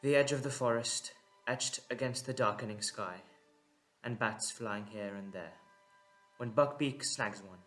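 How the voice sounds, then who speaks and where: A man's voice: fairly low pitch, unhurried, fairly bright tone, faint music behind. A man giving dramatic scripted narration for an audio drama.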